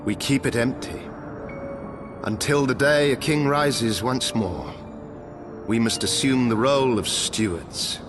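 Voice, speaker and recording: A man acting as a solemn knightly lord from a fantasy game. His delivery is grave and formal, declaimed like an oath, with clear music playing behind him. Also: mid-range voice, measured pace, lightly acted. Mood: grave resolve. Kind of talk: dramatic video game cutscene acting. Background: orchestral game music.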